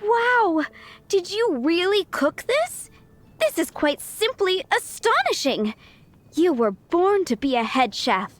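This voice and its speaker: A woman's voice: extremely high pitch, big pitch swings, takes it slowly, full character voice. A woman playing a friendly, effusive young restaurant cook in a video game, with a cheerful manner. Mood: amazed.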